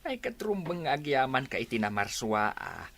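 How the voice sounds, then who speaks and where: Humble, tender father with animated pitch played by a man. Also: moderate pace, vocal fry, a little hiss or hum. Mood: tender, apologetic. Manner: apologetic, tender. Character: humble, affectionate poor father of a grown daughter. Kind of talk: Ilocano radio drama acting.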